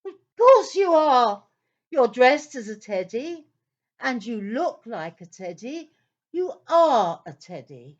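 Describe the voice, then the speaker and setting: A woman playing a patient storyteller in a children's audio play, narrating in a deliberate, expressive, theatrical way. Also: on the high side, highs cut off, exaggerated voice. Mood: exasperated, teasing. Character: patient female storyteller, gently exasperated with her characters.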